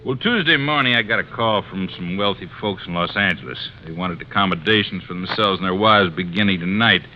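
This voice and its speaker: An actor playing a worried, folksy resort owner in a vintage radio drama, hesitant and plain-spoken. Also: male voice, sits low, a little fast, natural voice. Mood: worried, confiding. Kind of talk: 1950s radio drama acting. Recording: dull, noisy vintage radio recording.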